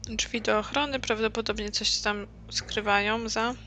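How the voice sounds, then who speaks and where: A woman's voice: fairly high pitch, fairly even intonation, normal speed, bright, forceful tone, slight background noise. A woman hosting a gameplay video, giving casual commentary and recapping the dialogue as she goes. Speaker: gaming let's play host.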